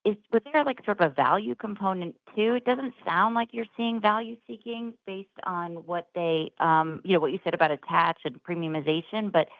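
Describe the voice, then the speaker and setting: Low-voiced woman caller on phone line. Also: normal speed, few pauses, no room sound. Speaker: financial analyst caller. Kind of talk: conversational questioning. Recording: thin dry phone line.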